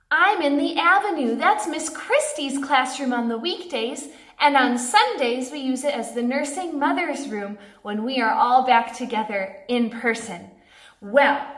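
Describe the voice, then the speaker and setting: A woman's voice: fairly high pitch, fairly bright tone. A woman doing a read-aloud for young children, speaking in simple phrases aimed straight at little listeners. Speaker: church pastor reading a children's Bible story aloud. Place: indoors in a classroom. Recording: echoey classroom.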